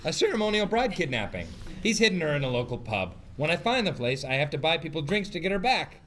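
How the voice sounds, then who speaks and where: An actor playing an intense, self-important eccentric office worker in a sitcom table read, with an emphatic, literal delivery. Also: male voice, fairly high pitch, sing-song, fast talker, crowd noise. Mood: excited, pleased. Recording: noisy live room recording.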